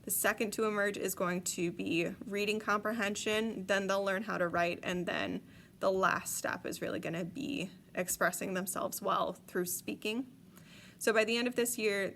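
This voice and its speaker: A woman's voice: mid-range voice, a bit bright, a little hiss or hum. Chatty, enthusiastic young woman teacher. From Chicago area. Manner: enthusiastic, chatty, with frequent ums. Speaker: French teacher. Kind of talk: recorded video message to parents. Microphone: tablet device.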